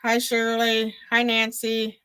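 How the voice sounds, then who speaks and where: A woman co-hosting a casual livestream over a remote link, easygoing, reading out chat names slowly. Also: on the high side, monotone, sharp tone, slight background noise, low-quality recording. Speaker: co-host on stream.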